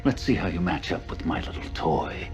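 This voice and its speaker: Very deep, smug game villain. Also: male voice, expressive. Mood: menacing, taunting. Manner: mockingly polite, deliberate, drawling pauses. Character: smug, menacing older male villain, a scheming military boss. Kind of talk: video game cutscene acting. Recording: game audio under loud music.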